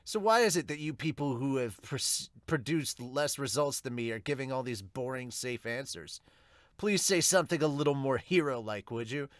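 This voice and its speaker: Brash young male hero, played by a man, casual and needling, medium pitch, dry amateur comic dub. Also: lively intonation, moderate pace, no room sound, lightly acted. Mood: challenging. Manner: cheeky, casual, provoking. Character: cocky, breezy young male superhero.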